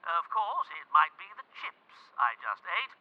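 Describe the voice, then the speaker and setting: A man's voice: a little high, big pitch swings, a little slow. Through a thin, phone-like old radio comes an eccentric, jovial announcer. He takes his time and swoops widely, musing and calling out theatrically in a playful radio drama manner. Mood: musing, mischievous. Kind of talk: 1920s radio broadcast acting.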